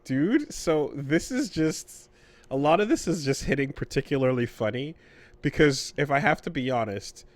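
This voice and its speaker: A man co-hosting a podcast, chatting casually about games in a measured way, often hesitating and repeating words as he weighs his thoughts. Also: fairly high pitch, animated, no echo. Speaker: podcast co-host.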